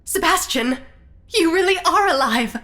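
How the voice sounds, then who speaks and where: A woman's voice: very high voice, lively intonation, a little slow, sharp tone, exaggerated voice. A woman playing an impatient, arch dinner guest with a dry manner in a comedy audio drama.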